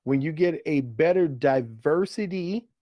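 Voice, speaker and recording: A man's voice: fairly high pitch, lively intonation, unhurried, dark tone, dry recording. A man co-hosting a sports show, talking casually and giving his opinions in a conversational way. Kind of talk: casual fantasy football banter.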